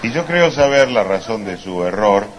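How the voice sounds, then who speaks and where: A man's voice: lowish voice, lively intonation, normal speed, muffled recording, close to an everyday voice. A man playing a fussy, mock-learned language scholar in a live stage comedy sketch. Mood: pedantic. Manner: mock-learned, overly formal. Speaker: comic stage performer. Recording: live stage with background noise.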